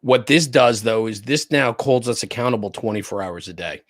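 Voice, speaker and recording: Middle-aged American male interview guest, medium pitch, dry sound, emphatic and opinionated yet casual. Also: expressive, moderate pace, no room sound. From New Jersey, United States. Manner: emphatic, repeats points, checks with "right?". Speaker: interview guest, fire service spokesperson and former chief.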